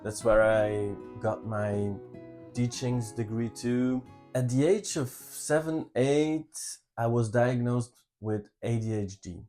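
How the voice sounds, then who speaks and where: A man in his thirties with a Flemish Belgian accent talks in an unhurried, measured way. His delivery is earnest and halting, with frequent restarts, as if he is choosing each word with care. His voice sits at an ordinary middle pitch, and faint guitar plays behind him. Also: a little mellow. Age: 30s. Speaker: channel co-host. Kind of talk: personal life story, casual talk.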